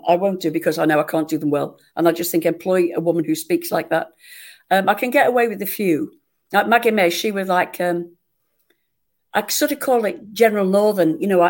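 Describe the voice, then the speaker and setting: A woman, an actress chatting in an interview and telling lively, self-mocking stories. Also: on the low side, fairly quick. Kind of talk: conversational interview.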